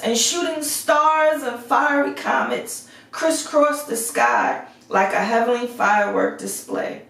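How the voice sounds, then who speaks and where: A woman reading a fairy tale aloud as a storyteller, in a measured, expressive way. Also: high voice, gravelly. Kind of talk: scripted fairy tale narration. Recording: echoey room, distant mic.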